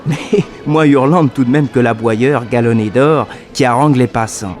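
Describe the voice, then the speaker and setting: An actor playing a detective's nephew who narrates a mystery to the listener in a familiar, confiding radio-drama manner. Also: male voice, medium pitch, normal speed, darkish tone, soft background music, lightly acted. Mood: confiding, amused.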